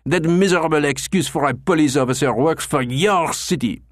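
A man's voice: a little high, measured pace, fairly bright tone, no room sound, studio quality, voice pushed up. A man reading an audiobook and voicing an irate elderly French Canadian travel critic with a thin, nasal accent. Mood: raging indignant.

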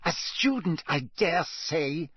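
A man's voice: on the high side, animated, slow, a bit bright, husky, dry recording, pitched above the performer's own voice, exaggerated voice. A man voicing a cold, bitter, dangerous potions master in an audiobook, speaking with disdain through a hissing, clenched delivery. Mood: cold, dismissive.

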